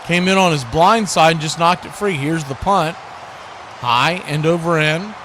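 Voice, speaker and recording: Guy doing live football play by play, high sharp voice, some background noise. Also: measured pace. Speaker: play-by-play sports announcer.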